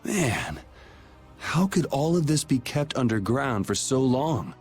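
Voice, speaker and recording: A man's voice: medium pitch, sing-song, slow pace, soft background music. An actor voicing a resolute, casual young male leader in a video game, dry and firm. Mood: grave.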